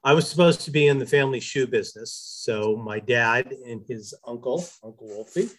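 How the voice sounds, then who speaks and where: Casual male guest with a mid-pitched voice. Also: fairly quick. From New Hampshire, United States. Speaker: podcast guest, business ethics expert. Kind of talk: casual anecdotal storytelling.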